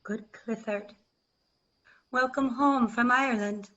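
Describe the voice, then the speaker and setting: Resolute, mourning queen played by a woman, classical stage verse, unhurried delivery, dulled video-call audio. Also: fairly high pitch, fairly even intonation, sharp tone, muffled recording, full character voice. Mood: sorrowful. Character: Queen Margaret, resolute grieving English queen.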